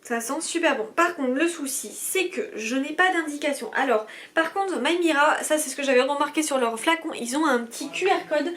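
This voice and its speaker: A woman's voice: high-pitched, normal speed, hardly any pauses, fairly bright tone. A woman, chatting casually and enthusiastically to viewers as a beauty vlogger. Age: 20s. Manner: bubbly, enthusiastic. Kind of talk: casual unboxing chat to viewers.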